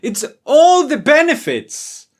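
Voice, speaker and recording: A man presenting in a casual, spontaneous way, excitable and playful as he thinks aloud through a demonstration. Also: very high-pitched, slow. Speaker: host and musician demonstrating homemade experimental gear. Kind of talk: casual spontaneous demo to camera.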